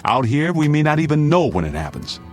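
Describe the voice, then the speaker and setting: An American man playing an anxious but steady father in a radio drama, speaking in a firm, earnest, commanding way. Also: fairly high pitch, brisk, faint music behind. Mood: stern. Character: anxious but steady father preparing family for nuclear attack.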